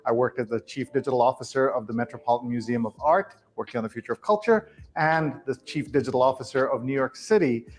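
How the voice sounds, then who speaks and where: A man delivers a scripted promo piece in a polished, measured way, presenting himself to viewers. His voice sits at an ordinary pitch for a man. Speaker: speaker in a promo video.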